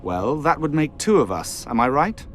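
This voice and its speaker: A man's voice: on the high side, very animated, moderate pace, fairly bright tone, a little hiss or hum. Proud, sardonic nobleman. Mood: wry, proud. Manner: formal, sardonic, haughty. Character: proud, bitter young nobleman of royal blood. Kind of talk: video game cutscene dialogue.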